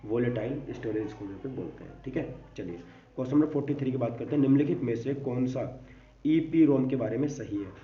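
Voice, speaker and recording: Male exam coach, brisk informal quiz delivery with English terms mixed in; roomy, distant recording. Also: mid-range voice, lively intonation. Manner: repeats catchphrases, mixes English terms into explanations. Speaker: online exam-prep teacher. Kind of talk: informal lesson reading out quiz questions and answers. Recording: dull, distant, echoey room with faint noise.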